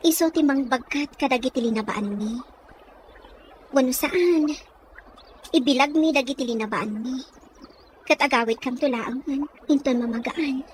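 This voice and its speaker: High-pitched young Filipina with an Ilocano accent played by a woman, wistful, lovelorn daughter in radio-style drama, noisy recording. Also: moderate pace, pauses often, lightly acted. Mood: nostalgic. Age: young adult. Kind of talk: Ilocano audio drama acting.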